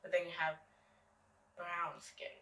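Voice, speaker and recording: A young American woman talking as a guest, relaxed and halting with many fillers. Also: fairly low pitch, echoey room, recorded from a distance. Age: young adult. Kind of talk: casual interview.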